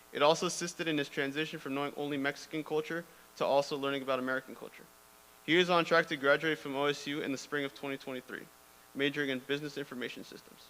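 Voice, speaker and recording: Fast, bright-voiced man reading a statement. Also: on the high side. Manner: brisk reading, occasional restarts. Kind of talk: prepared public comment. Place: school board meeting room. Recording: reverberant room with faint hum.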